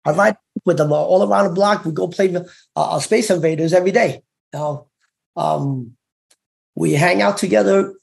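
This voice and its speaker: A middle-aged man with a Hong Kong-tinged accent, telling his story haltingly with many fillers as an interview guest over a video call. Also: sits high, vocal fry, a little mellow. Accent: Hong Kong-influenced. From United States. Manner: halting, many fillers and restarts, gestures while talking. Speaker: interview guest, former gang member. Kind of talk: casual storytelling. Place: room indoors. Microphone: video call webcam.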